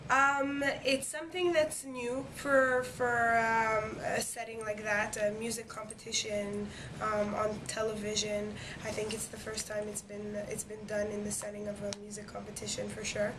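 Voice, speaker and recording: A woman's voice: medium pitch, level tone, normal speed, few pauses. A young woman from Montreal whose first language is French, answering interview questions eagerly, with plenty of fillers and restarts. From Montreal, Quebec. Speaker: singing competition winner. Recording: noticeable background noise.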